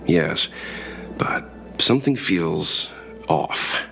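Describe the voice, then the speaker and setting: An actor playing a reserved, analytical traveller in a video game cutscene, speaking in a deliberate, thoughtful, matter-of-fact way. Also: male voice, sits low, lively intonation, vocal fry, music in the background, heavily acted, low-quality recording. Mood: pensive, analytical. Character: reserved, analytical male traveller, polite and wary.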